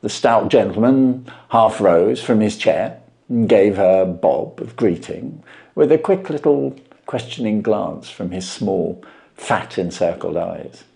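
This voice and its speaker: A man narrating a classic short story as an audiobook, in an expressive, deliberate storytelling manner. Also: lowish voice, vocal fry. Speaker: reader of short stories.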